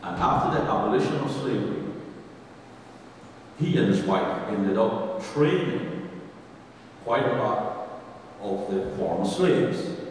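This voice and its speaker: A man's voice: on the low side, darkish tone, slight background noise. A man from Guyana with a Guyanese accent, giving a folksy, conversational history lecture to an audience and asking them questions. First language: English. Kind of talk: public history presentation. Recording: distant, reverberant hall recording.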